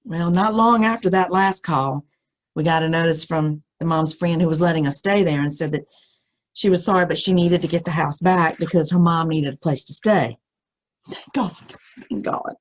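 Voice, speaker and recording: A middle-aged American woman with a husky voice reads a scary first-person story aloud, expressively and with suspenseful pauses. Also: on the low side.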